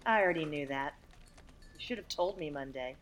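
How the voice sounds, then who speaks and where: A woman playing a confident, competitive saleswoman in a fast-paced comic audio play in 1940s radio style. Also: low-pitched, lively intonation. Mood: reproachful.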